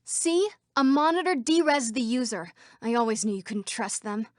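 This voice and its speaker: A woman as an earnest, high-voiced female program. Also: lively intonation, normal speed, dry recording, studio quality, lightly acted. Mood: wary. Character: female computer program citizen of a digital world, earnest, uneasy. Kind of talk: video game voice lines.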